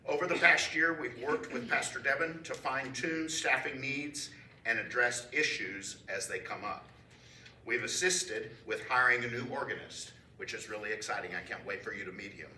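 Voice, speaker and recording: A man reading out a committee statement at a church council meeting, upbeat, with pauses between phrases. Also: medium pitch, sharp tone. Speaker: committee member giving a report. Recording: echoey room, mic far off, faint noise.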